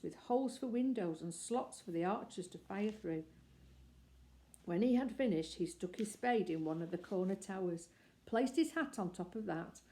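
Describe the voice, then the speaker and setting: A woman reading a children's chapter book aloud, telling it in a gentle, expressive storybook manner. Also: medium pitch. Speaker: storybook reader.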